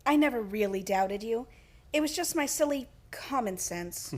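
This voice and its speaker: An actress playing a sensible, softening mother in a live old-time radio play. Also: on the high side, lively intonation, moderate pace. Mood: tender.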